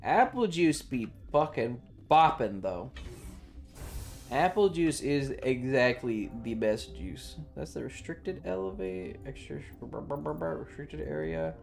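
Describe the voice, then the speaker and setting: A man's voice: medium pitch, big pitch swings, slow, gravelly, soft background music. A man livestreaming a video game with casual, slangy, swearing chatter and jokey commentary. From Southern United States.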